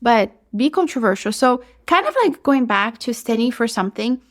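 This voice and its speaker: A woman's voice: on the high side, animated, moderate pace. Chatty Brazilian-accented woman podcaster. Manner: chatty, upbeat, many "like"s. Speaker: podcast host. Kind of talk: solo podcast advice talk.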